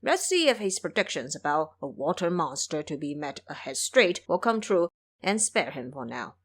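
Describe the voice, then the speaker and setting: A woman's voice: deep voice, very animated, moderate pace, a bit bright, slightly rough, voice pushed down, exaggerated voice. A woman voicing a male pig-demon disciple in an audio drama, soothing and reasoning with an elder brother. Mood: placating.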